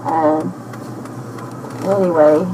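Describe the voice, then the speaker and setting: A woman in her sixties talking slowly and haltingly into a personal audio diary, with many pauses and fillers, heard from an old cassette tape. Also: deep voice, fairly even intonation, creaky, background noise. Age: 60s. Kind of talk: rambling spoken diary.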